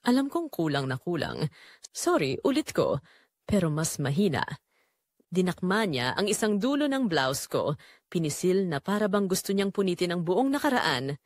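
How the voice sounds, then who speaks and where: A woman's voice: on the low side, very animated, normal speed, no room sound, studio quality. A woman reading a scripted first-person drama narration in an emotive, dramatic way.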